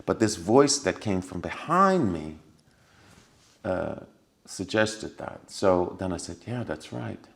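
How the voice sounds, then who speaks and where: Deep-voiced man telling a vivid story. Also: slow, creaky. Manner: animated storyteller who acts out scenes with sound effects and laughter; drops to a hushed, quiet voice for remembered lines. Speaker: podcast guest. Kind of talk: casual interview storytelling.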